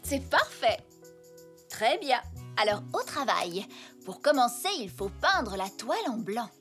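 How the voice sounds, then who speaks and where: Cartoon zebra mom, female, warm and encouraging artist type, lively highish delivery, unhurried, music behind. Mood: gracious. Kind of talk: French children's cartoon acting.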